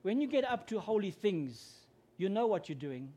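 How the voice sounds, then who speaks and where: A man's voice: high-pitched, sing-song, normal speed, slightly rough. Afrikaans-man preaching. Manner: earnest, grows louder and more emphatic when preaching. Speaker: guest preacher. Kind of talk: sermon.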